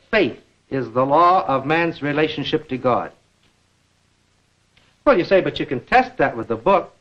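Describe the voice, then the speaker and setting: A middle-aged American man presenting an educational lecture in a measured, deliberate manner, posing rhetorical questions, on a 1950s film soundtrack. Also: fairly high pitch, a bit bright, muffled recording.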